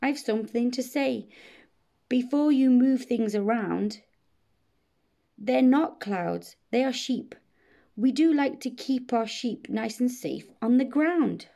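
A woman's voice: a little high, slow, creaky, mellow, pitched above the performer's own voice. A woman voicing a plucky, curious female farm cat in a storybook, earnest and exclaiming. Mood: earnest, pleading.

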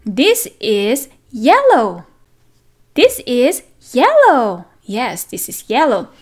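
A woman teacher reading a picture book aloud to toddlers in an animated, sing-song manner, with simple repeated words and questions. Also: on the high side, drawn-out words. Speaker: children's teacher and read-aloud host. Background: background music.

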